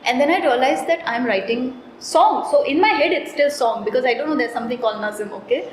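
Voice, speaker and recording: A woman, thinking aloud in a relaxed interview about her writing and filling her talk with "you know". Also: high-pitched, fast, reverberant, slight background noise. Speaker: author being interviewed. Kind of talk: casual reflective interview talk. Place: cafe.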